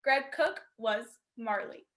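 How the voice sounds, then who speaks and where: A woman announces a radio play in a high, bright voice. Her delivery is scripted and presentational, like a programme sign-off. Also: slow pace.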